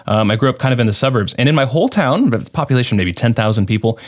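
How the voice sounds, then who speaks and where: A man from Southern California co-hosts a conversational podcast at a middle pitch. He tells stories in a relaxed, anecdotal way. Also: fast talker. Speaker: podcast co-host.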